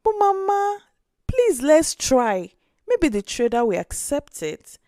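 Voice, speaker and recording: A woman's voice: high voice, slow, dry recording, studio quality, voice pushed up, exaggerated voice. A woman voicing an eager, pleading little orphan girl in a storybook tale. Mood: hopeful, pleading. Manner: pleading, excited. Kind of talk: storybook character voice.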